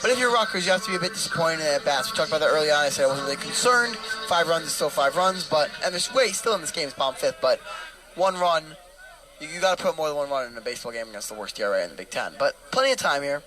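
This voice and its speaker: Fast-talking male baseball analyst. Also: fairly high pitch, animated, music in the background, low-quality recording. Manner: conversational, thinking aloud about strategy. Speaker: color analyst. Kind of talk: baseball color commentary.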